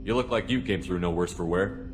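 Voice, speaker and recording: An actor playing a steady, direct military space commander in a video game, firm and probing, with music behind him. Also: male voice, low voice, brisk, noisy background, close to an everyday voice. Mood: casual. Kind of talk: video game dialogue acting. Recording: background music under the voice.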